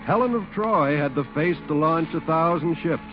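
A man's voice: on the high side, lively intonation, normal speed. A man announcing and narrating a 1940s radio comedy in a theatrical, dramatic way. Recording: muffled old radio recording over music.

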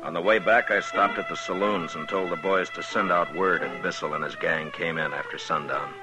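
Man acting a very deep-voiced, terse western marshal. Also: normal speed, natural voice, poor audio. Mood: grim narrating. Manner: terse, understated, deadpan. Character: stern, laconic western town marshal. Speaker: lead actor and first-person narrator. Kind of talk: 1950s radio western acting and narration. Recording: vintage 1950s radio recording, music bed.

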